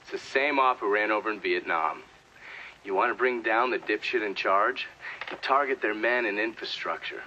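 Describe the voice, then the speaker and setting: Cynical American tactician, lowish forceful voice, crude, game drama, dull sound. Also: male voice, a little hiss or hum. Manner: blunt, crude, instructing. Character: cocksure, cynical Vietnam war tactician. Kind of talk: dramatic video game cutscene acting.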